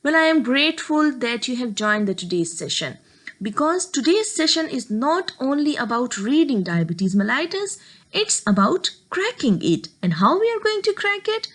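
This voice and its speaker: A woman's voice: high voice, sing-song, normal speed, fairly bright tone. A woman from Pakistan, giving a recorded medical lecture and explaining step by step.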